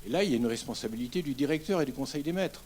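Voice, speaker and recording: A man speaking from the floor at a public debate, explaining his point in a measured way with many hesitations. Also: medium pitch, animated, darkish tone, slight background noise. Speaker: audience member at a public debate.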